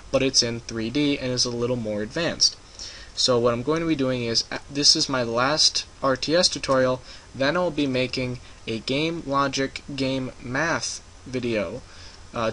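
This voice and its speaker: Young male tutorial narrator, bright and edgy tone, halting and filler-heavy delivery, faint room hiss under a desktop mic. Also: medium pitch, rough recording. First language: English. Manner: rambling, hesitant with frequent ums and uhs. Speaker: game tutorial maker. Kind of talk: casual screen-recorded tutorial.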